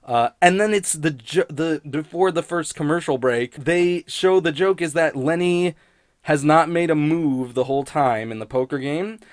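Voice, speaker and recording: A man's voice: a little high, normal speed, no echo, studio quality. A man rambling casually and sardonically in an unscripted review, swearing freely like a podcast critic.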